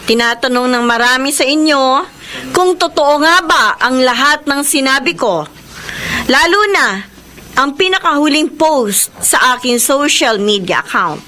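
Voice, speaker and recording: A woman's voice: sits high, lively intonation, noisy background. An actress playing a troubled, pleading young woman in a radio drama, with a Filipino accent. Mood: tearful, confessing. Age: young adult. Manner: emotional, pleading, drawn-out delivery. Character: troubled young niece, fearful, pleading, publicly accusing. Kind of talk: Tagalog radio drama acting.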